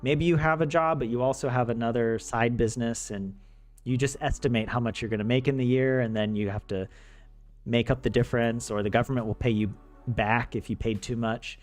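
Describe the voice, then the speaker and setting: A man's voice: medium pitch, steady tone, a little fast. An American young man chatting casually on a live stream about life abroad, joking and laughing often between fillers and restarts. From United States. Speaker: streamer teaching Finnish. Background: faint video game music.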